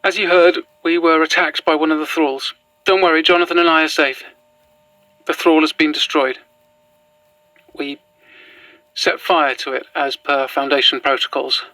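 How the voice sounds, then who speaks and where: A worn-out young woman in a found-footage horror drama. Her voice is bright and very dry, with halting, stammered phrasing. She is shaken but stubborn and bitter underneath. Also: sits low, flat delivery, no echo, low-quality recording. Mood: weary, shaken. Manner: hesitant, stammering, sighing.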